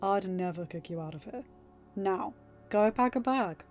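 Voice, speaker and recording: A woman's voice: mid-range voice, a little slow, darkish tone, lo-fi sound, faint music behind, lightly acted. A woman voicing an affectionate, fatherly man in an audiobook story, casual, teasing and reassuring.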